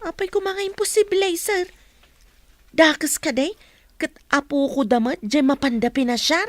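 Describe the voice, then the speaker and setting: A woman playing a nosy, excitable young office worker teasing the boss in a radio comedy drama. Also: sits high, normal speed, dry recording, natural voice.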